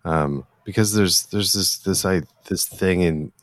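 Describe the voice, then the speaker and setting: A man hosting a casual interview, with a halting, hesitant way of phrasing things. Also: low-pitched, no room sound, clean studio sound. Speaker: interviewer, podcast host.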